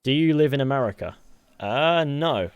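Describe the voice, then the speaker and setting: A man's voice: mid-range voice, expressive, moderate pace, smooth, no room sound, lo-fi sound. Tired uk gamer guy, manc-southern accent mix, rambly jokey stream chat, dry close sound. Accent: mix of Mancunian and Southern English. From United Kingdom. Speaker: game streamer. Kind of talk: casual live-stream chat.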